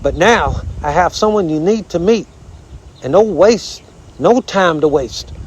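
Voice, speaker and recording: A man's voice: on the high side, a little hiss or hum. A man voicing a folksy, pompous old armadillo uncle with a Southern drawl, blustering and repeating himself, in a storybook reading.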